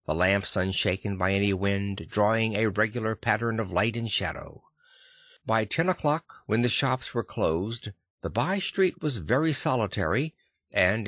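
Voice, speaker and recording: A man reading literary fiction as an audiobook narrator, with a measured, deliberate storytelling delivery. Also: fairly low pitch, fairly bright tone, no room sound, studio quality.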